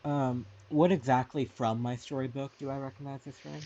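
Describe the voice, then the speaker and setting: A man's voice: medium pitch, steady tone, moderate pace, warm tone, lo-fi sound. A man playing a tabletop game in casual chat, hesitant and stammering.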